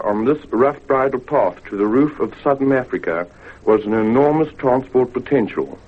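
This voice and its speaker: A man giving a formal, composed scripted statement on an old radio recording. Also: medium pitch. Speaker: guest recounting his venture. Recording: thin, phone-like vintage radio recording.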